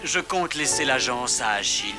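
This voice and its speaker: A man's voice: medium pitch, expressive, moderate pace, loud music behind the voice, natural voice. A man voicing a proud, melancholy fennec fox detective in a French children's cartoon. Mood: gloomy.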